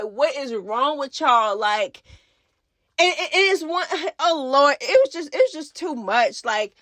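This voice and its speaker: Sassy high-pitched woman vlogger. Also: fairly bright tone, dry recording. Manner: sassy, slangy, emphatic repetition. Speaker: YouTube reality TV recap host. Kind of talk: casual opinionated commentary.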